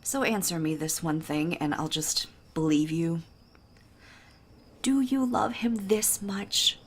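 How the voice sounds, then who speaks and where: Low-voiced wry woman. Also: takes it slowly, a little hiss or hum. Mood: grave, earnest. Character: wry, guilt-burdened woman, old friend in debt. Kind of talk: naturalistic audio drama acting.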